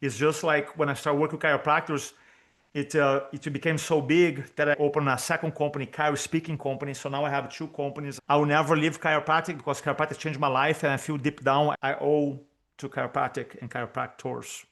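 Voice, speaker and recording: A man's voice: on the high side, flat delivery, brisk, a bit bright. A man from Brazil with a Brazilian Portuguese accent, whose first language is Portuguese, talking energetically as a speaking coach on a video call and repeating key points for emphasis. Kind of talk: interview guest on video call.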